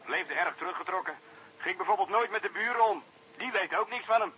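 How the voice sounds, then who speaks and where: A man's voice: high-pitched, fast talker, pushed and bright, breathy edge, exaggerated voice, rough recording. An actor playing an eager, plain-spoken junior policeman reporting over the telephone in a radio play. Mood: dutiful reporting. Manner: matter-of-fact reporting, slightly folksy.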